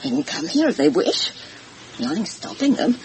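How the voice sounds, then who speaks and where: An older housekeeper, played by a woman, answers defensively but with deference. Her pitch moves about in a lively way, as in radio drama, over faint background noise. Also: normal speed, natural voice, rough recording. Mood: guarded. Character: evasive, guarded older housekeeper with stiff joints.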